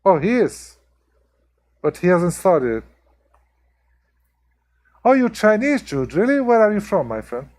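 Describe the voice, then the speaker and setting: Chatty Hungarian-accented streamer. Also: male voice, high-pitched, very animated, slow, long pauses, a little mellow. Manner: chatty, talks to viewers by name, many ums and restarts. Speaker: livestream host. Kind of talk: casual chat with live viewers.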